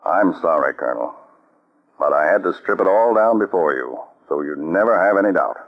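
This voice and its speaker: A calm commanding counterspy chief. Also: male voice, low-pitched, fairly quick. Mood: consoling. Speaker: lead agent. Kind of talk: 1940s radio acting. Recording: dull old radio broadcast.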